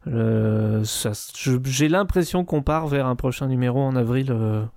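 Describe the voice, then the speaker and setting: Man, medium pitch, casual podcast chat, self-mocking, hesitant, close dry sound. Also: measured pace, creaky, a little mellow, no echo. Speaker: podcast host appearing as a guest. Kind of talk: casual chat about own show.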